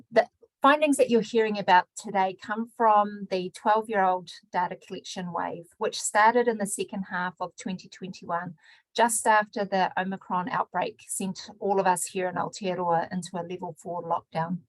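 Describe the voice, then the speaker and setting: A woman opening and hosting a research webinar, measured, mixing in Māori greetings. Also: medium pitch, dry recording, poor audio. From New Zealand. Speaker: webinar host and research director. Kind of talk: scripted webinar introduction.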